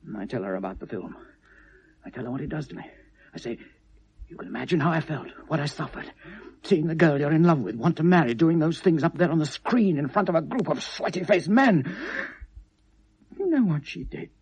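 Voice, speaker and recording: An actor playing a tormented, obsessive lovelorn British man confessing to police, halting and bitter, shifting from hushed to anguished in a 1970s radio drama. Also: fairly high pitch, lively intonation, husky. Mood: haunted, hushed, then anguished, furious. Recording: noisy vintage radio play recording.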